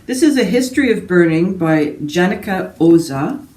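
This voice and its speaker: Mellow, rambling woman in echoey room. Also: fairly low pitch, animated, normal speed. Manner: rambling, self-interrupting asides. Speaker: book vlogger. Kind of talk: casual book chat to camera. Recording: roomy echo, distant from mic.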